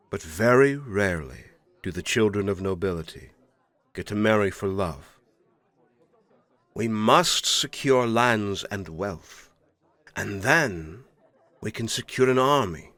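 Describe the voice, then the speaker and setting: A man's voice: medium pitch, a bit bright, no room sound. An actor playing a stern, imperious older king in an audio roleplay drama, speaking formally and with weighty dramatic emphasis. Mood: scornful.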